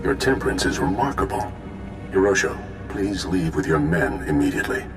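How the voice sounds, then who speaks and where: An actor playing a stern, self-righteous Jedi Master in a video game, speaking in a measured, formal and solemn way. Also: male voice, a bass voice, expressive. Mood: measured, calm. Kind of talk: video game cutscene acting. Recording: echoey voice over game music.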